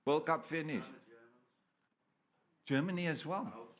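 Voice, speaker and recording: Playful preacher. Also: male voice, a little high, lively intonation, slow pace. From South Africa. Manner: playful, storytelling, asks the crowd for amens. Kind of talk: sermon.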